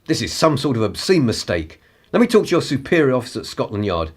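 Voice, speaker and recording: Radio drama: male actor as a superior, indignant upper-class gentleman, slightly low and lively, roughened tone. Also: fast talker. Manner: articulate, pompous, superior. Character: indignant, haughty upper-class male political radical and spy. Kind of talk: radio play acting.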